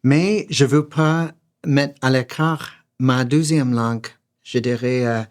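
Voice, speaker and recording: Earnest American-born actor, halting. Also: male voice, medium pitch, fairly even intonation. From United States. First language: English. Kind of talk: casual conversation.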